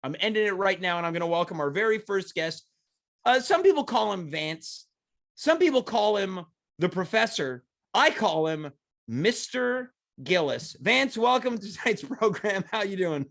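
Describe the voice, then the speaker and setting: A male host with a noticeably high voice talks loosely and playfully, piling up self-mocking jokes. He sounds congested from a lingering cold. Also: very animated, moderate pace, a bit bright. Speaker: talk show host. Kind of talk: casual comedic chat.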